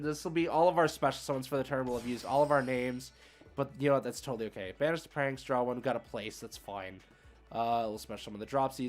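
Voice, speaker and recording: A man with a mid-pitched voice commentates his card game live for a stream. He talks in an informal, slangy way and thinks aloud through his moves. Music plays behind his voice.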